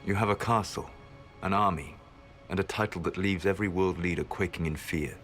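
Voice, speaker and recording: An actor playing a calm, cold, world-weary rebel mage in a video game cutscene, speaking in a measured, formal way. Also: male voice, low-pitched. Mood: cool, controlled. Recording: background music under dialogue.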